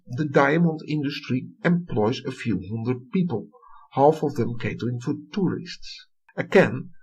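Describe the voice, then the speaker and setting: Husky Dutch-accented male lecturer. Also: medium pitch, low-quality recording. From Netherlands. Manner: reads a prepared text with deliberate emphasis. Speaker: history lecturer. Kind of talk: recorded academic lecture.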